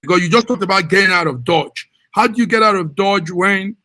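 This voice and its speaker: A man's voice: sits high, a little fast, bright, forceful tone, no room sound. A man with a Nigerian accent, moderating a panel discussion as its host.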